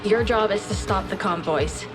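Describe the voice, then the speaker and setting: An actress playing a confident military scientist who briefs a commander in a brisk, businesslike, wryly conversational way. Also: female voice, mid-range voice, over loud music, lightly acted. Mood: businesslike, explanatory. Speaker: research chief and mission briefer. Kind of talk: scripted game mission briefing.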